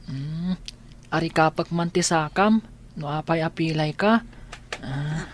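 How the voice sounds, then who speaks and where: A woman's voice: sits low, slow pace, a little hiss or hum. A woman playing a curious, innocent young boy in a radio drama, with an Ilocano accent.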